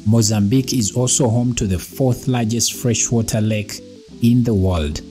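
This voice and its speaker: A man with a mid-range voice narrates a script in a calm, explanatory way. He sounds like a host teaching his audience. Music plays clearly beneath the voice-over. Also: medium pitch, normal speed. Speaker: channel host.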